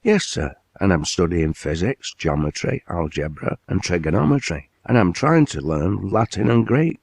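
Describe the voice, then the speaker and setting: A man voicing a bright, polite teenage boy apprentice with clear speech and a slight South East Lancashire accent, earnest and respectful. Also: low-pitched, expressive, normal speed, dry recording, full character voice.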